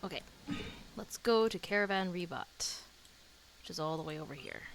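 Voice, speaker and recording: A woman's voice: lowish voice, moderate pace, slight background noise, low-quality recording. A woman streamer giving casual reaction commentary while playing a game. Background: game music.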